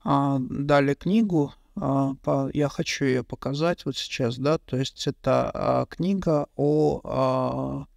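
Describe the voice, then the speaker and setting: A middle-aged man hosting an interview, asking his questions haltingly with many fillers, into a studio mic. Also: medium pitch, fairly even intonation, vocal fry, darkish tone, dry recording. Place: bright indoor room, interview set. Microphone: studio mic on a boom.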